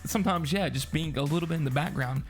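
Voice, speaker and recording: A man's voice: on the high side, fast, faint music behind. A man co-hosting a film review as a casual chat, with informal, slangy phrasing.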